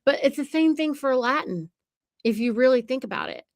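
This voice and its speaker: Woman, podcast host, emphatic, lecturing, high-ish voice, dry close sound. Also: expressive, brisk, no room sound. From United States. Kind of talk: casual podcast conversation.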